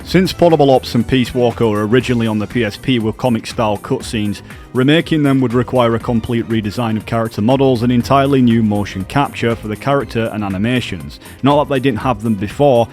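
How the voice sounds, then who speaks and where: Guy doing scripted gaming news voiceover, average pitch, bit of music behind. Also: a little mellow. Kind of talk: scripted video narration.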